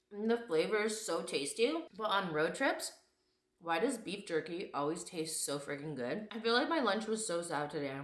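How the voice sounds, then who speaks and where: A woman in her thirties, vlogging casually at home with a handheld camera, chatty and candid, her voice a little raspy from a cold. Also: medium pitch, bright, forceful tone. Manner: chatty, candid, laughs often. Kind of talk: casual vlog chat. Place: home kitchen and living room. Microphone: camera held at arm's length. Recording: echoey room.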